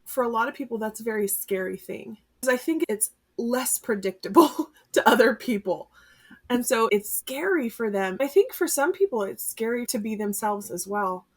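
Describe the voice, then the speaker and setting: A woman's voice: on the high side, expressive, normal speed. A woman who teaches music, hosting a podcast in a friendly, conversational way. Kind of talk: podcast interview.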